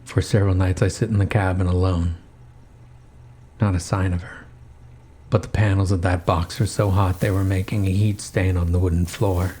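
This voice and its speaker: A man narrating a first-person horror story in measured, somber short phrases. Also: deep voice, flat delivery, mellow, slight background noise.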